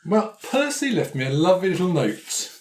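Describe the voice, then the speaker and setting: A man's voice: sits high, sing-song, normal speed, a little hiss or hum. A man improvising casually as a player in a tabletop role-playing game.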